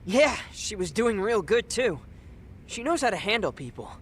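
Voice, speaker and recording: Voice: mid-range voice, very animated, moderate pace, fairly bright tone, a little hiss or hum. An actor playing a cheeky but earnest teenage boy in a video game dub, talking in offhand, youthful slang. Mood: earnest, worried.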